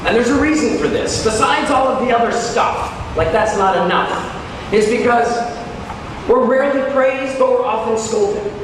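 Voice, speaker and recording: A man with a high voice gives a keynote speech in a conversational yet dramatic way, stretching key words for emphasis. He sounds distant from the microphone in a big, heavily echoing hall, with clear background noise. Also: normal speed. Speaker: keynote speaker, teacher. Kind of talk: motivational keynote speech to teachers. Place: large hall at a teachers' conference. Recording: very echoey hall, distant, noisy.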